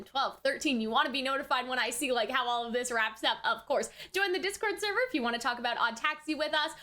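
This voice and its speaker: Very high, bright-voiced excitable woman. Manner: expressive, exclamatory, slangy. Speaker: host of an anime reaction channel. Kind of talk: excited casual reaction commentary.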